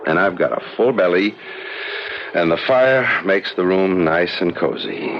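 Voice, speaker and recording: An actor playing a practical, skeptical husband in a 1970s radio drama, brusque and matter-of-fact, sometimes impatient. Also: male voice, sits low, animated, unhurried. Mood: settled, assured. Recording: noisy, muffled old radio broadcast recording.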